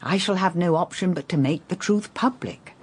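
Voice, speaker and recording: An actress playing a poised, middle-aged English lady detective in a radio drama, measured and probing with a wry touch. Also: lowish voice, breathy edge. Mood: brisk, musing.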